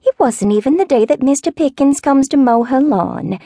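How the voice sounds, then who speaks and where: A woman voicing a fussy elderly neighbor with a Southern accent, who talks in a slow, meandering, wavering falsetto. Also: expressive, warm tone, dry recording, studio quality. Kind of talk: audiobook character voice.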